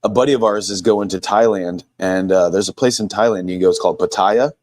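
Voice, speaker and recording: A man's voice: sits low, fast talker, a little mellow, no echo, studio quality. A man chatting casually on a stream, crude and jokey, laughing often. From United States. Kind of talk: casual chat.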